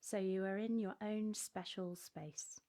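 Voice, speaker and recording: Slow, measured woman guiding children's relaxation. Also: medium pitch, fairly even intonation. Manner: measured, gentle step-by-step instructions. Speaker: host of a children's relaxation program. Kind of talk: guided relaxation narration for children.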